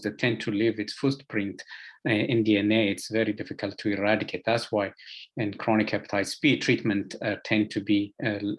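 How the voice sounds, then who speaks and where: A man with an Ethiopian accent gives a medical lecture as an expert, speaking haltingly with frequent filler sounds and repeated words. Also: on the low side. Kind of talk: lecture presentation to health professionals.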